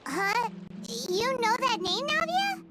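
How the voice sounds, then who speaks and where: A woman voicing a tiny, excitable, childlike fairy companion in a video game. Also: very high-pitched, takes it slowly, exaggerated voice. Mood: excited. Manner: refers to self in third person, exclamatory. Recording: prominent game music behind voice.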